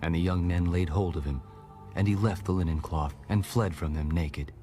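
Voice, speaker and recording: A low-pitched man narrates scripture with solemn gravity. His storytelling is measured and scripted, as in an audio Bible. Music runs clearly behind his voice. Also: dark tone.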